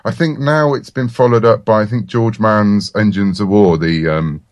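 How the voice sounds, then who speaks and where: A man with a deep voice talks casually as the guest in an interview. He is chatty and wryly self-deprecating. The sound is dry, with little room around it. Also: moderate pace, no echo. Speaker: interview guest, comics and audio writer.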